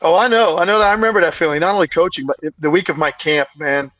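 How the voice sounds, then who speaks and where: A male basketball analyst co-hosting a podcast, his voice strained and raspy from a heavy week of talking, breaking the game down emphatically. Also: a little high, quick pace, fairly bright tone, highs cut off. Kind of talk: casual sports podcast analysis.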